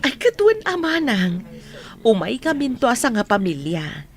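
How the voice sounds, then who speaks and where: Marcy, a woman acting a very lively Filipino friend. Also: fairly high pitch, moderate pace. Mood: delighted. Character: Marcy, supportive female friend of an older bride. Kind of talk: Filipino audio drama dialogue.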